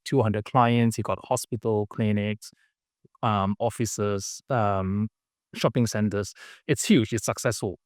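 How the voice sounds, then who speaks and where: A man from Malaysia telling his story as a podcast guest, earnest and halting, with many fillers and restarts. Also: medium pitch, darkish tone, no echo, studio quality. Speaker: podcast guest, business founder. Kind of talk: casual interview storytelling.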